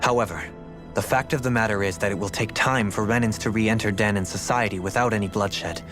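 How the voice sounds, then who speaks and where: An actor playing a formal, solemn young former lord in a video game, speaking in a measured, courteous way. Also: male voice, fairly low pitch, steady tone, close to an everyday voice. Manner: formal, measured, tense when asking favors. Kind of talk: dramatic video game cutscene acting. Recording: music playing behind the voice.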